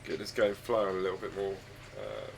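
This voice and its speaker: A man with a husky, croaky voice, hoarse from a chest cold, chatting casually and rambling hesitantly while streaming a game from home on a desk microphone. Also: low-pitched, big pitch swings, fairly quick, noisy background. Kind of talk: casual live-stream chat. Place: home room with his dog.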